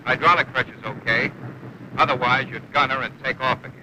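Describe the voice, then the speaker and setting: A man's voice: mid-range voice, steady tone, fairly quick, natural voice. A man playing a seasoned, exacting bomber flight instructor in a 1940s training film, with a clipped, instructional manner. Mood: brisk, firm. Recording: old 1940s film soundtrack, engine noise.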